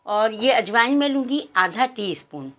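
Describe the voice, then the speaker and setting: A woman's voice: a little high, very animated, normal speed, fairly bright tone. A woman hosts a cooking channel. She walks viewers through a recipe step by step in her home kitchen, addressing them formally and counting out each measure aloud.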